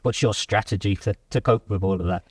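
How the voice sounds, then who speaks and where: A man's voice: lowish voice, brisk, no room sound, clean studio sound. A man hosting a casual workplace talk show, asking loose questions with restarts and fillers. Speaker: host. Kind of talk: casual interview.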